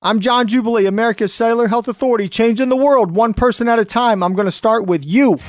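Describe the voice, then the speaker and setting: A man's voice: extremely high pitch, fast talker. A man hosting a motivational radio talk show, emphatic and upbeat, repeating phrases for effect.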